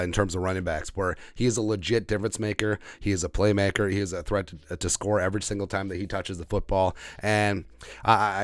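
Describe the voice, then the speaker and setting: A man's voice: on the low side, no room sound. A man hosting a sports podcast, giving off-the-cuff, opinionated football commentary and repeating phrases for emphasis.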